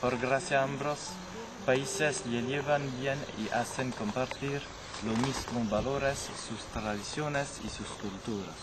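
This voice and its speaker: Slow, halting man. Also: medium pitch, heavy background noise. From French Basque Country. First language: French. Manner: halting, restarts mid-word. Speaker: personal testimony narrator. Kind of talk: reading a prepared personal statement. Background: loud music.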